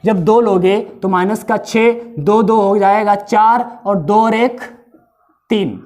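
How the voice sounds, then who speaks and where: A man with a hoarse, strained throat talks through a math lesson at a steady, patient pace. He says each number twice and keeps asking his students what comes next. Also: high-pitched, slow. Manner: explains step by step, repeats each value, asks the class questions. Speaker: math teacher.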